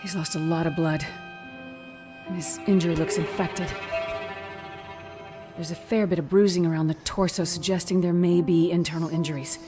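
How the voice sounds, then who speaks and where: An actress playing a steady, dutiful female doctor in a video game cutscene, speaking in an earnest, clinical way. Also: fairly low pitch, moderate pace, pauses often, over music, natural voice. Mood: clinical concern.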